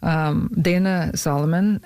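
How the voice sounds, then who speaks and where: Halting woman filmmaker from Saskatchewan. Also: sits low, fairly even intonation, darkish tone, smooth, dry recording. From northern Saskatchewan, Canada. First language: Michif. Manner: hesitant, many ums and uhs. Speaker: filmmaker guest. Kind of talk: casual interview.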